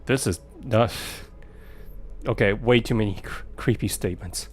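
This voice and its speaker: A man with a lowish voice gives relaxed let's play commentary as a streamer. He talks back to the game in a wry, teasing way, with soft music in the background. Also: normal speed. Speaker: streamer playing a horror game.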